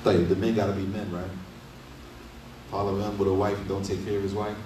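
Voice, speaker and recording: Middle-aged man, mellow low voice, casual sermon, echoey small room, mic at a distance. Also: flat delivery, a little fast, a little hiss or hum. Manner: conversational, asks rhetorical questions with frequent "right?". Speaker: imam giving a lesson. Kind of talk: casual Islamic lecture. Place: small indoor study room. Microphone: desk mic on a stand.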